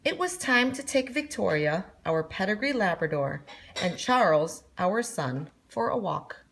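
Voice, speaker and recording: A woman's voice: mid-range voice, lively intonation, a little slow, creaky, a bit bright. A woman voicing a snobbish, bossy middle-aged mother in a storybook read-aloud, haughty and commanding. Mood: haughty, disdainful.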